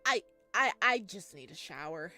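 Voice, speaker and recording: A woman voicing the frightened, halting heroine of a horror visual novel. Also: lowish voice, expressive, sharp tone, full character voice. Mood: panicked denial. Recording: music behind the voice.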